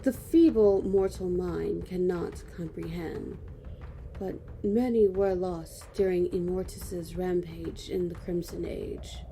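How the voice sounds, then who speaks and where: A woman's voice: on the low side, steady tone, slow, dark tone, a little hiss or hum, poor audio. A woman playing a cold, stern judge who looks down on mortals in a fantasy audio drama.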